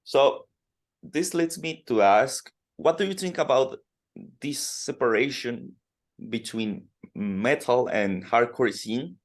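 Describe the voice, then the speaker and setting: A man from Colombia interviewing a musician, asking slow, halting questions full of fillers. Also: mid-range voice, lively intonation. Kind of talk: podcast interview in second language.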